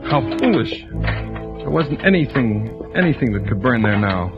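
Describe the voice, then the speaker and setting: An actor playing an anguished husband and father, narrating in a grave, deliberate 1940s radio style. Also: mid-range voice, sing-song. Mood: somber narration. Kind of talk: 1940s radio acting, first-person narration. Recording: muffled old radio broadcast, music behind.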